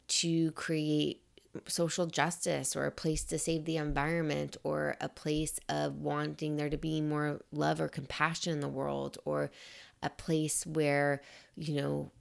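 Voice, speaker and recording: A woman speaks in a low register with a gravelly, slightly raspy edge and a faint nasal tone. She talks in a gentle, reflective, encouraging way, thinking aloud as a podcast host and often checking in with "right?". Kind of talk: reflective motivational talk.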